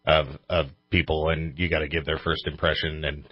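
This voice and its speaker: A man with a husky voice hosting a radio show in casual banter. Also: a bass voice, level tone, brisk, no echo, highs cut off.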